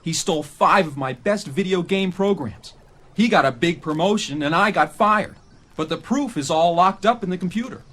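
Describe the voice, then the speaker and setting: A man playing a cocky, energetic video game whiz on a read-along record, speaking in an informal, eager way. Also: high-pitched, moderate pace. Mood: eager, determined.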